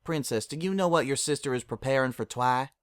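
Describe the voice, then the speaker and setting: A man's voice: medium pitch, brisk, clean tone, no echo, voice pushed up, close to an everyday voice. A man voicing a stubborn, anxious female farm pony with folksy country phrasing. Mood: pleading.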